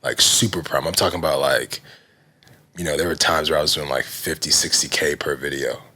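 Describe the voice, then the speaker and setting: A man in his thirties with a very low, deep voice tells his story in a loose, casual way, leaning on fillers as he goes. He talks as a podcast guest. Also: a little fast, breathy edge. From Nigerian heritage. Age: 30s. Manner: relaxed, heavy use of fillers like "you know" and "like". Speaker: guest, fitness brand founder.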